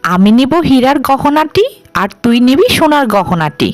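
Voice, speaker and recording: A woman plays a haughty eldest daughter of a village landlord in a cartoon. Her high voice swings with lively intonation, bossy and dismissive, in a very dry recording with music underneath. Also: moderate pace, no room sound. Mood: boastful, bossy. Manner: boastful, dismissive. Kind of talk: cartoon voice acting.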